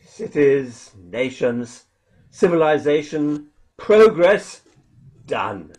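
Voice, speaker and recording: Jumpy, high-pitched militiaman survivor, acted by a man. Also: big pitch swings, a little mellow, full character voice. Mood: agitated, bitter. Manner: folksy, halting, agitated, stammering repeats. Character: jumpy, defiant militiaman surviving alone after invasion. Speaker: actor in a radio play. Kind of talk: dramatic radio play dialogue.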